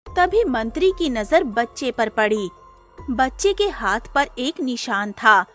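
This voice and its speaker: A woman narrates a scripted cartoon tale at a slow, measured pace. Her voice is fairly high, with music playing underneath. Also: very animated, a bit bright. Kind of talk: scripted children's-style story narration.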